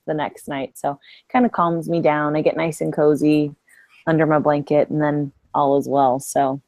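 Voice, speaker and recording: A woman with a slightly low voice speaks as a podcast panelist. Her delivery is casual, everyday chat. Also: flat delivery, moderate pace, darkish tone, no room sound.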